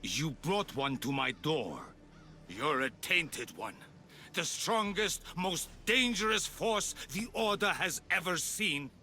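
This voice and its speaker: An aging Persian zealot who leads a secret order in a video game. His delivery is slow and forceful, full of grandiose conviction and calm menace. Music plays behind him. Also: fairly high pitch, exaggerated voice. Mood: impassioned. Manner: grandiose, deliberate speechmaking. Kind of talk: video game cutscene acting.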